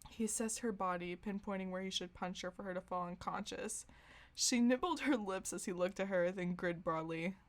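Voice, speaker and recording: A woman's voice: medium pitch, normal speed. A woman podcast host reading fan fiction aloud for comedy, prone to giggling and dropping in her own asides. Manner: giggly, breaks into laughter while reading.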